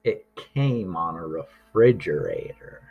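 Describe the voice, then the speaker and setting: Slow-reading male storyteller with a slightly husky voice. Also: deep voice, wildly swooping pitch, lo-fi sound. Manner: deliberate, with drawn-out words and dramatic pauses. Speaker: storyteller reading a picture book aloud. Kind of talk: expressive read-aloud for young children.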